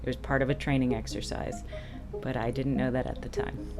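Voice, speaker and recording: A woman's voice: a bass voice, steady tone, a little fast. A middle-aged woman, a boat captain, chatting casually to camera in a wry, self-deprecating way. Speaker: boat captain and online boating vlogger. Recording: music playing behind the talk. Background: music.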